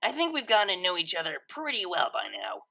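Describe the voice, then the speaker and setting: A woman's voice: lowish voice, huge pitch swings, a little fast, vocal fry, sharp tone, full character voice. A woman voicing a brash, playful young female unicorn DJ who talks in a casual, slangy, teasing way. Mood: teasing, insistent. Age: young adult.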